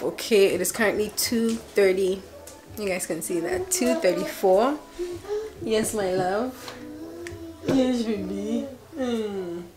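A woman in her twenties chatting casually in her kitchen for a home vlog, chatty and excitable with frequent laughs. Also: medium pitch. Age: 20s. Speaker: vlogger.

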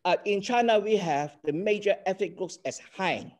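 A man's voice: sits high, normal speed, darkish tone. An older man from Hong Kong, a native Cantonese speaker with a Hong Kong accent, giving an online class lecture over a video call and checking in with "okay?". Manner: earnest, explanatory, checks in with "okay?".

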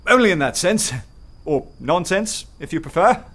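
Young poet, radio play, witty, eloquent, unflappable, slightly high voice, animated melody. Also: male voice, moderate pace, heavily acted. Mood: bantering, courteous. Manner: dry, teasing, eloquent. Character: witty, self-assured young male poet, courteous and unflappable. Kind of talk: full-cast radio play acting with first-person narration.

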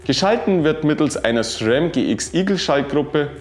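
A man's voice: fairly high pitch, moderate pace. Man reading a bike review, roomy sound. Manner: even, informative delivery dense with specs. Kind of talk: scripted product review narration. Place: wooden-walled workshop room, indoors. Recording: roomy sound with faint music.

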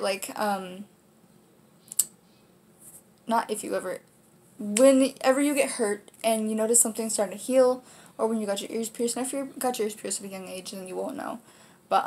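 Casting: American woman, late teens, mid-pitched voice, informal vlog host who explains things in a loose, rambling chat. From United States, Kansas. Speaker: video host. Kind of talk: casual vlog chat to camera. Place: small room indoors.

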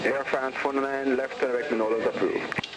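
A man working as an air traffic controller, giving clipped clearances over aviation radio. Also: medium pitch, little pitch movement, normal speed. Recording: noisy radio heard in aircraft cockpit.

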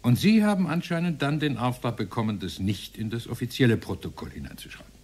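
An actor playing a stern presiding judge in a radio play, husky and pressing as he questions a defendant. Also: medium pitch, animated, fast talker, darkish tone. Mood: insistent. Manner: probing, pressing, corrective. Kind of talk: radio play courtroom dialogue.

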